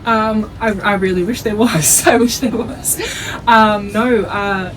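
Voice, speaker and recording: A woman's voice: mid-range voice, fairly even intonation, takes it slowly, slight background noise, low-quality recording. A young adult woman with an Australian accent, originally from Iceland, chatting casually and informally as an athlete being interviewed. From Iceland, raised in Australia from age three. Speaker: netball player being interviewed.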